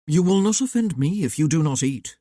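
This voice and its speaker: A woman reading as a guarded, brooding young gentleman, speaking in short, restrained phrases. The voice is mellow and dark, recorded close with a dry sound. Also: low voice, expressive, brisk, no echo. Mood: restrained, grim.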